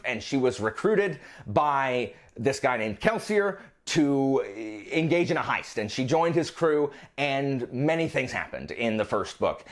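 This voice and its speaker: Animated, high-pitched man chatting about books. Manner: enthusiastic, emphatic, repeats words for stress. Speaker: book reviewer presenting a monthly reading list on his channel. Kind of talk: casual, chatty vlog talk to viewers.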